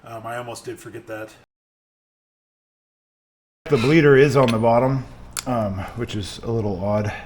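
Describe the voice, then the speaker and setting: A man's voice: mid-range voice, fairly even intonation, measured pace, pauses often, a little mellow. A man giving a casual how-to while he works, thinking aloud with some hesitation. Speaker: car mechanic hobbyist, channel host. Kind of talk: casual hands-on how-to narration while working. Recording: noisy room, voice drifting off mic.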